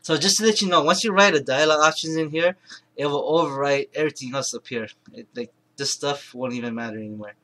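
Bright-voiced man giving a halting tutorial. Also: a little high. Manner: halting, rambling, self-correcting, mumbled asides. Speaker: tutorial presenter. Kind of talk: informal screen-recorded software tutorial, thinking aloud. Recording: clear background noise, keyboard and mouse clicks.

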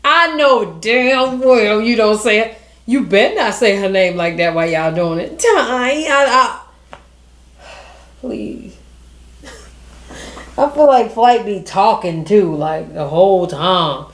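A woman's voice: mid-range voice, lively intonation, slow, pauses often, echoey room. A woman hosting a reaction video, chatting casually and teasing the people she watches. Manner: teasing, playful commentary. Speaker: reaction channel host.

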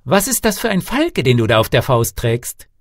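An excitable fairy-tale king, acted out by a male storyteller, with a raised voice and lively, swooping pitch. He is theatrical and exclamatory, a quick-tempered ruler from a storybook. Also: dry recording, studio quality. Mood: delighted. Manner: theatrical, exclamatory, loud when alarmed. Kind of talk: storybook character voice in audiobook reading.

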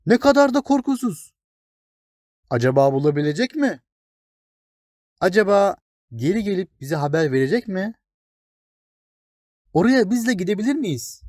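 A man voicing excitable, shivering wild meadow grasses in a children's fairy tale, eager and exclamatory. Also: high voice, normal speed, pauses often, no echo, clean studio sound, pitched above the performer's own voice. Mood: excited, wondering.

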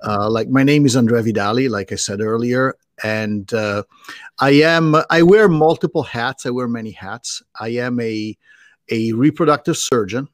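A middle-aged man with an Italian accent, presenting on a webinar in a chatty, animated way with many fillers and restarts, speaking over a video call from a home office. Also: mid-range voice, no echo, clean studio sound. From Italy. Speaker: guest doctor presenting a webinar. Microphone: video call with desk mic.

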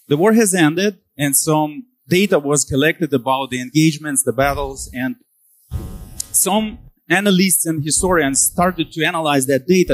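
Higher-voiced man giving a tech talk. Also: dry recording. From Portugal, where he says he came from. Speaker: conference speaker. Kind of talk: technical conference presentation. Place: on stage in a conference hall.

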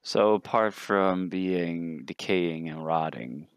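A man's voice: low voice, slow, clean tone, no echo. A man chatting casually as a player in a tabletop roleplaying game.

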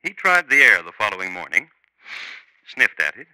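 An actor playing a wry, theatrical narrator of true crime tales in a 1950s radio drama, speaking deliberately. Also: male voice, lowish voice, big pitch swings, sharp tone, smooth, dry recording, exaggerated voice, low-quality recording. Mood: ominous. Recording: vintage 1950s radio, faint music.

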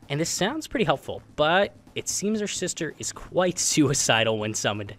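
A man's voice: medium pitch, fairly bright tone. A man narrating a game explainer voice-over in an informal, opinionated, emphatic way, with casual asides. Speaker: gaming video narrator. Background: background music.

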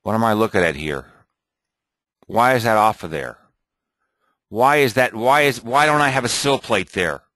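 Man, low voice, rambling screen-recording tutorial, dry close sound. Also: animated, normal speed, pauses often, fairly bright tone, no echo. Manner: rambling, thinking aloud, muttering to himself. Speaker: software tutorial presenter.

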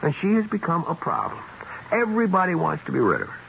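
An actor playing an earnest, insistent, principled police detective in a 1970s radio drama, speaking plainly. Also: male voice, a little high, wildly swooping pitch, fast talker, vocal fry, a bit bright, exaggerated voice. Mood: impassioned. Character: dogged, principled police detective, a man standing up for victims. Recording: dull, hissy 1970s radio broadcast.

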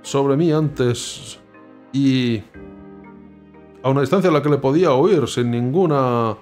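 A man's voice: mid-range voice, slow, a little mellow, faint music behind, lightly acted. A man reading the lines of an earnest young male student abroad who feels wronged, in an indignant tone.

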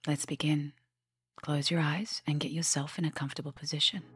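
Low, breathy woman guiding a meditation. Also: flat delivery. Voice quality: breathy, husky, often near a whisper. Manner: gentle, unhurried, with long pauses. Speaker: meditation guide. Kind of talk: guided meditation, soft and soothing delivery.

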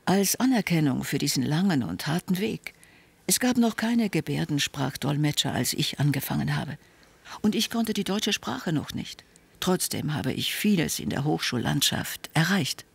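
A man reading a calm, measured first-person voice-over that translates signed speech in an interview. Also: fairly high pitch, vocal fry, slightly rough.

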